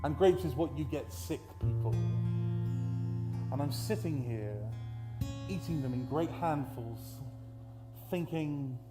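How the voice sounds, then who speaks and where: A British man performs his own spoken-word poems at a live event, with a theatrical, deliberate storytelling delivery and dramatic emphasis. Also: on the high side. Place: live at a festival event in a room. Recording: roomy, echoey live event, background noise.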